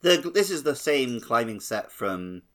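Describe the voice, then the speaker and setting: A man's voice: mid-range voice, big pitch swings, a little slow. A man co-hosting a casual gaming podcast, chatting in a jokey, self-deprecating way. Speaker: podcast co-host. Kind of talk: casual chat about a video game.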